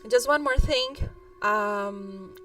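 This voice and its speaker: A Brazilian woman whose first language is Portuguese hosts a casual storytelling livestream. She talks to viewers in a loose, rambling way with many filler words, at a middling pitch, with music behind her. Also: very slow, a bit bright. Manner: rambling, peppered with "like". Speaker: host, storyteller and writer.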